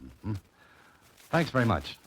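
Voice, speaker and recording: An actor playing a wry, wisecracking amateur sleuth in a clipped, sardonic 1940s radio drama style. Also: male voice, lowish voice, wildly swooping pitch, slow pace, exaggerated voice. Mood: polite, probing. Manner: sardonic, hard-boiled, clipped. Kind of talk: 1940s radio drama acting, first-person narration. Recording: old radio broadcast with music.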